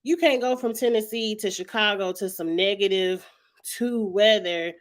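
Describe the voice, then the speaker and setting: A young woman hosting a casual reality TV recap, her voice a little raspy from a cold, chatting loosely and often clearing her throat. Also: mid-range voice, normal speed. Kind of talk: casual vlog chat.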